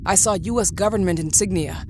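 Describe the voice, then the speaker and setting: A woman's voice: medium pitch, a little fast, very noisy, lightly acted. A woman playing a defiant, suspicious space mission commander in a science-fiction audio drama, terse and insistent. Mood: wary.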